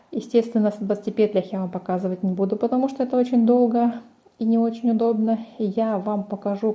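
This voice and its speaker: A woman's voice: mid-range voice, warm tone. A woman presenting a crochet tutorial, explaining each step in a methodical way. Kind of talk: step-by-step craft instruction.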